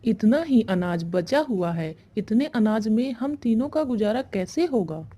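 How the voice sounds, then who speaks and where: A woman's voice: fairly high pitch, lively intonation, unhurried, dark tone. A woman voicing a scheming, grudging young village wife in a story cartoon, with a cold, complaining manner. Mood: calculating, worried. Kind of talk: Hindi moral-story cartoon dialogue.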